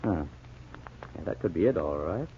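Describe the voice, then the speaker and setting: An actor playing a keen American amateur sleuth in a 1940s radio drama, explaining things emphatically in a raspy voice. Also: male voice, low voice, expressive, normal speed, a little hiss or hum. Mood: keen, reasoning. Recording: tinny 1940s radio recording.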